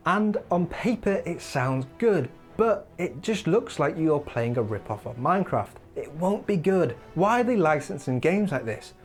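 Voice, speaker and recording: A man hosting a gaming countdown in casual commentary, rambling and opinionated, his voice wearing thin. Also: fairly high pitch. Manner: opinionated, rambling, lively asides. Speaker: gaming video host. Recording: faint music behind.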